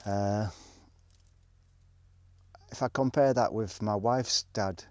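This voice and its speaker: A man's voice: on the low side, slow pace, a little mellow. A man, casually recounting his travels in a rambling way, with plenty of fillers and restarts. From England. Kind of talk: casual spoken storytelling.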